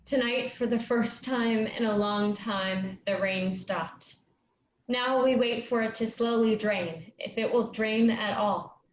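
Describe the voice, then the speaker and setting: Slow, high-voiced earnest young woman played by an actress, echoey room. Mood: wistful. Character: Madge, earnest young woman, travelling player finding her voice. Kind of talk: staged play reading. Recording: echoey, dull lo-fi, noisy room.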